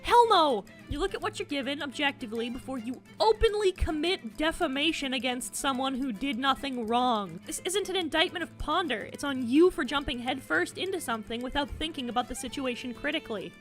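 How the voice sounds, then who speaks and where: Female commentary host, high voice, blunt and sarcastic, swears freely, music faintly behind. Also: lively intonation, a little fast, hardly any pauses.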